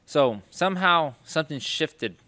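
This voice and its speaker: A man's voice: a little high, lively intonation, a little slow, pushed and bright. A man interviewing a boxer in a chatty, enthusiastic manner, laughing often. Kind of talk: casual interview chat.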